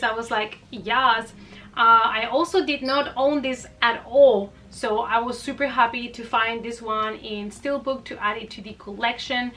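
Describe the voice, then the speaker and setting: A woman with a high, very bright voice chats informally in a casual haul vlog. She sounds enthusiastic and conversational, like a reviewer showing viewers her finds. The microphone picks her up from a little way off. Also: recorded from a distance, a little hiss or hum. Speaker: horror movie review vlogger.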